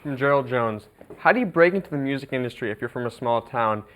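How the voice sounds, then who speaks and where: A man's voice: mid-range voice, expressive, fast, a bit bright, a little hiss or hum. A man on a talk show reads audience questions aloud for the guest.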